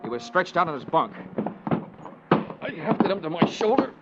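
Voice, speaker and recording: An American actor playing a tough, wry insurance investigator who narrates his case in clipped, deadpan 1940s radio detective style. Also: a little high, moderate pace, heavy background noise. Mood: tense, wry. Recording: dull vintage radio recording with music.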